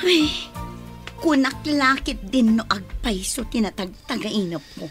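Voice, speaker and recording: A woman's voice: fairly high pitch, expressive, a little slow, soft background music. A woman playing a harsh, scheming, indignant aunt in a radio drama, muttering and exclaiming. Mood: irritated. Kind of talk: radio drama acting in Ilocano.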